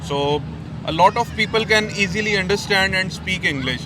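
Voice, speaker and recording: A man's voice: high-pitched, moderate pace. A man, explaining steadily in a street interview. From Pakistan. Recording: outdoor street with crowd and traffic.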